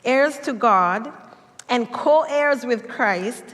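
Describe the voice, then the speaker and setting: A woman pastor from Kenya with a Kenyan accent, preaching a sermon with animated storytelling, acted quotes and laughter. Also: a little high, slow pace. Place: church sanctuary. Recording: long church hall reverb.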